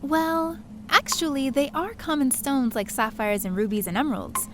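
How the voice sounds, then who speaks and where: High-voiced, earnest young woman adventurer, acted out. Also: moderate pace, over loud music, close to an everyday voice. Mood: eager. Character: curious, chatty, sheepish young woman adventurer. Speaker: lead character and narrator. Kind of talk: first-person scripted story narration with dialogue.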